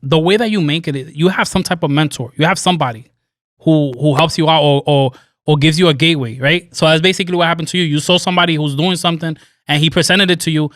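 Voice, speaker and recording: Male podcast host, casual and rambling, slightly high-pitched, close dry sound. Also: fairly bright tone, dry recording. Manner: rambling, repeats words, keeps saying "man" and "right". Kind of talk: casual interview chat. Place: podcast studio.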